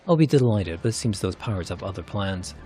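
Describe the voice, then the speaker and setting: A man's voice: sits low, expressive, brisk, mellow, faint music behind. Male reader as a polished, self-assured fantasy game adventurer; formal old-world phrasing, swooping intonation. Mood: suave, assured. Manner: formal, archaic, theatrical phrasing. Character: polished, confident, courteous adventurer seeking a wanted pirate. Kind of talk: narrated fantasy game dialogue.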